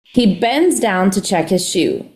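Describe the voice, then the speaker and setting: A woman's voice: medium pitch, expressive, takes it slowly, a little mellow. A woman reading scripted stage directions as narrator of an audio play. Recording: faint background noise.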